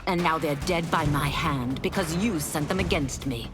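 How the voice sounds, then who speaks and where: A woman's voice: sits low, normal speed, lightly acted. A woman playing a defiant, vengeful young warrior in a video game, resolute and dramatic. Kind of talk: video game cinematic acting. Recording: loud music behind.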